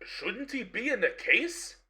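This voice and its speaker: Man acting an exasperated barber, piercingly high, bright. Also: big pitch swings, a little slow, a little hiss or hum, exaggerated voice. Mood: scolding, worried. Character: exasperated, loyal barbershop owner and crocodile expert. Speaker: actor in a radio play. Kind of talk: radio play acting.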